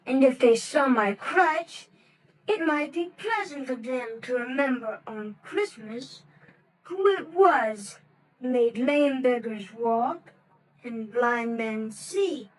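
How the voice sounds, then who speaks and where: A woman's voice: on the high side, animated, takes it slowly, rough recording. A woman playing a small sickly boy in a radio drama, sweet and earnest.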